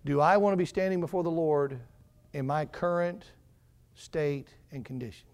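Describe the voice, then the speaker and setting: A man in his forties preaching a fervent sermon as pastor, building on repeated phrases and swinging between quiet and loud passages. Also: a little high, moderate pace. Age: 40s.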